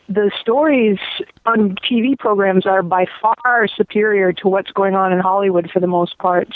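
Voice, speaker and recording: A man's voice: high-pitched, no echo. A man chatting casually as a podcast interviewer. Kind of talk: casual conversation. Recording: thin, dry phone-like line.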